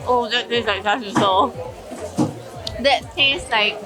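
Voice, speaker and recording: A woman's voice: fairly high pitch, slow pace, a crowd in the background. Cheerful Singaporean woman tasting food. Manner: chatty, enthusiastic tasting remarks with frequent laughs. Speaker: travel vlogger. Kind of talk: casual food vlog commentary. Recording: music bed over the voice.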